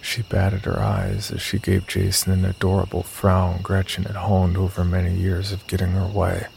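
A man's voice: low voice, normal speed, creaky, darkish tone, slight background noise, poor audio. A man playing a wry, sardonic young narrator who tells a horror story in the first person. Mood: wry, uneasy.

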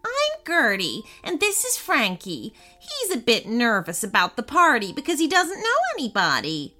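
A woman acts out a friendly storybook witch with a high, swooping voice. She is chatty and gushing, full of grateful excitement. Soft music plays along. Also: moderate pace, full character voice. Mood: friendly, reassuring. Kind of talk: children's storybook character voice.

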